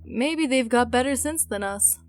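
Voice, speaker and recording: A woman performs a sarcastic, casual monster hunter for an audio story. Her voice sits slightly high and comes out slowly, sceptical and dry. Music is prominent behind her. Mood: wry. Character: wry, sceptical female adventurer and monster hunter. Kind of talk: audio story character acting.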